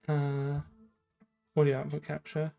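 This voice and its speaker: A man's voice: a little high, monotone, a little slow, vocal fry, dull audio, faint music behind. A man chatting casually on a live coding stream, thinking aloud in an informal way. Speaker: streamer and game programmer.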